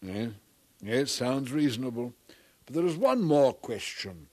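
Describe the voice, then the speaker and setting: A man's voice: mid-range voice, very animated, unhurried, no echo. A man playing a thoughtful, formal questioner from the far future in a 1950s radio drama. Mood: thoughtful, puzzled. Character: probing, thoughtful far-future male interviewer of scholars.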